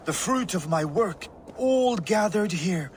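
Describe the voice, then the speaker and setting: An actor playing an elderly, weary and proud Norse warrior who is ready to die, in a video game. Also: male voice, high-pitched, animated, slow pace, slight background noise. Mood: weary, resigned. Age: older. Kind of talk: video game dialogue acting.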